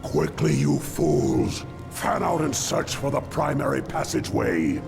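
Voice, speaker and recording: An actor playing a ruthless, power-hungry orc warlock in a video game, with a gravelly voice and contemptuous, grandiose delivery. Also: male voice, lowish voice, sing-song, a little slow, music in the background, full character voice. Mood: commanding, contemptuous. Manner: contemptuous, grandiose villainous declaiming. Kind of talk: dramatic video game voice acting.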